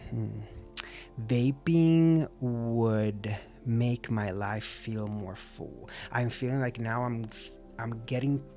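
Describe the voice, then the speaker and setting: A man's voice: medium pitch, slow, warm tone, soft background music. Reflective man vlogging with a slight stutter. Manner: open, reflective, self-encouraging; occasional stutter and restarts. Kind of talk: casual talk to camera.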